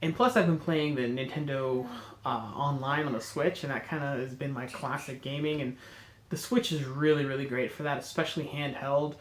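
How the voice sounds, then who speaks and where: A man's voice: medium pitch, fairly even intonation, normal speed, reverberant. A man with a cold and a congested, nasal voice, hosting a casual chat and rambling with enthusiasm while he corrects himself. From North America. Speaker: host.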